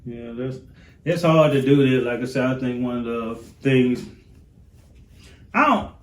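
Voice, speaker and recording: A man's voice: mid-range voice, unhurried, echoey room. A laid-back man co-hosting a casual relationship talk show, often repeating words as he finds his point. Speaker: podcast co-host.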